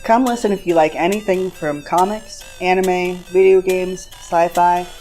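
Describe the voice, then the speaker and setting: Low-voiced woman reading a promo. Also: fairly even intonation, moderate pace, warm tone, soft background music. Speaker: podcast co-host in a promo. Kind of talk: scripted promo read.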